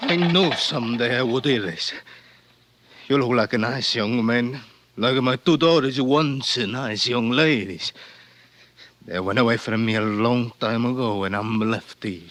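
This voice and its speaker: An actor playing a despairing old working father in 1940s radio drama, mournful, resigned and deliberate. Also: medium pitch, a bit bright, close to an everyday voice. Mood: grieving, resigned. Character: despairing, weary old immigrant father, coal shoveler.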